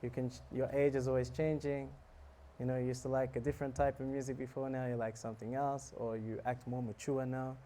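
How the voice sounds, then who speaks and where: A man giving a relaxed, joking talk on scripture to a live audience, often asking them questions. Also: medium pitch, fairly quick, slight background noise. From South Africa. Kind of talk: casual sermon to a live audience.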